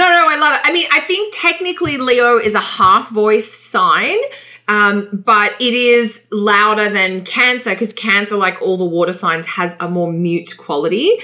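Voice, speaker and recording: A woman's voice: medium pitch, pushed and bright. A woman with an Australian accent, discussing casually as co-host of an astrology show.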